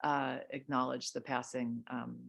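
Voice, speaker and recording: A middle-aged woman hosting a book interview over a video call, speaking in a measured way with many fillers and restarts. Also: very deep voice, level tone, vocal fry, fairly bright tone. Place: home room.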